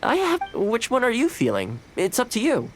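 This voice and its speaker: Animated, friendly young housekeeper. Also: male voice, very high voice, normal speed, faint music behind, full character voice. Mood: friendly, upbeat. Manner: casual, amiable, considerate. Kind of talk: English-dubbed anime game dialogue.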